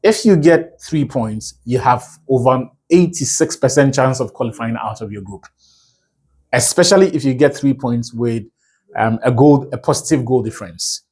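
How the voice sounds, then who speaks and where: A man from Ghana talks through a team's chances as a football analyst in a solo video. His speech is fluent and conversational, full of asides and quick self-corrections, at an ordinary mid pitch. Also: a little mellow, husky.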